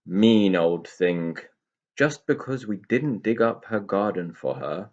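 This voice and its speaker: A man's voice: lowish voice, animated, takes it slowly, darkish tone, highs cut off. A man voicing a grumbling, idle, self-pitying pixie in a children's storybook, in a gloomy, whining way.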